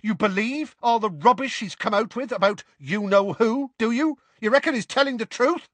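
A man's voice: high-pitched, normal speed, a bit bright, no room sound, pitched above the performer's own voice. A man voicing a hot-tempered, accusing teenage schoolboy who snaps heatedly, in an audiobook. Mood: heated, disgusted. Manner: heated, snapping. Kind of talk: audiobook character voice.

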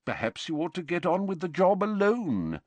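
Brief: man doing a soft-spoken elderly wizard, calm and kindly mild, higher and quieter than the narration, dry close sound. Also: normal speed, dry recording. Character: wise, gentle very old male wizard headmaster.

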